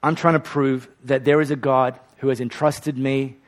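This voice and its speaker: A man's voice: medium pitch, flat delivery, moderate pace. A male pastor preaching a sermon and leading an altar call in a church. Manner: earnest, leads a repeat-after-me prayer. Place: hot church auditorium.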